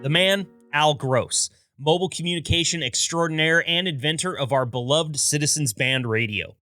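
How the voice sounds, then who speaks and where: Male podcast host, scripted storyteller, crisp forceful tone, slightly high voice, close dry sound. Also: moderate pace, no echo, soft background music. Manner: upbeat, storytelling delivery with wry asides. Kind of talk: scripted narration.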